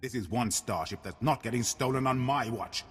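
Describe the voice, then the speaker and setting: An actor playing an outraged, scornful guard officer in a video game, pompous and sneering. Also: male voice, mid-range voice, moderate pace, natural voice. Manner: pompous, sneering, barking insults. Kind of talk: scripted game character acting. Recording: long reverb, faint music behind.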